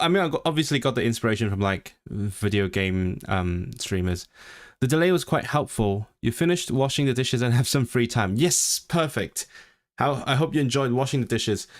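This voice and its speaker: Rambling man chatting on a livestream. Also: lowish voice. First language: Cantonese. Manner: rambling, self-deprecating, many restarts and fillers. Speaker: language-learning streamer. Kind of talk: casual live-stream chat.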